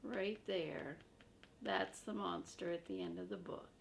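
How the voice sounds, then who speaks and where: An older woman reading a children's book aloud, chatting to young listeners in simple, gentle phrases. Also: on the low side, unhurried, gravelly. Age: older, a grandmother.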